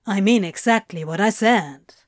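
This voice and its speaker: A woman's voice: mid-range voice, normal speed, no echo, natural voice. A woman voicing a sardonic male author with a dry, drawling, mocking manner, as in an audiobook story. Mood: teasing.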